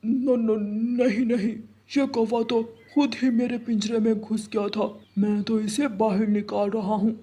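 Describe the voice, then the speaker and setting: Cartoon villain, male, acted by a man: greedy sly meat seller, husky, high-set voice. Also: flat delivery, unhurried, few pauses, dark tone. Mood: nervous lying. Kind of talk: Hindi children's cartoon story voice acting.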